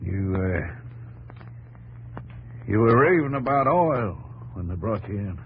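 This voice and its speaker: Low-voiced fatherly rancher. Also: expressive, slow pace. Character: seasoned older male rancher, fatherly, self-assured desert settler. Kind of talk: 1950s radio acting. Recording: faintly noisy old radio broadcast.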